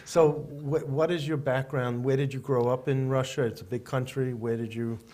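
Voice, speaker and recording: A man's voice: mid-range voice, fairly even intonation, moderate pace, a little mellow. A man co-hosting an interview, asking questions in a friendly, chatty way. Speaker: co-host. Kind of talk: casual interview conversation.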